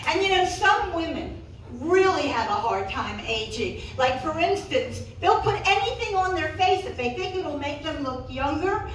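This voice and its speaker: An older woman performing a bawdy, self-deprecating stand-up routine, with punchy delivery and drawn-out pauses. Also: high voice, big pitch swings. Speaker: stand-up comedian. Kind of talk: live stand-up comedy routine. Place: small cat cafe room with audience, indoors. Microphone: handheld microphone, recorded by camera from audience. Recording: roomy, echoey, distant mic, some room noise.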